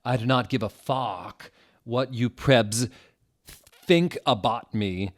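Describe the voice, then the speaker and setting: A man voicing a furious, defensive teenage girl author, ranting loudly in drawn-out, indignant words. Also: medium pitch, voice pushed up, natural voice.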